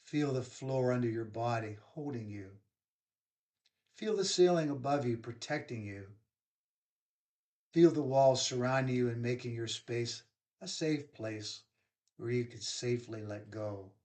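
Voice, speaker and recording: Man, mid-range voice, meditation guide, soothing instructional delivery, unhurried phrases with pauses. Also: mid-range voice, a little mellow. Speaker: yoga nidra guide.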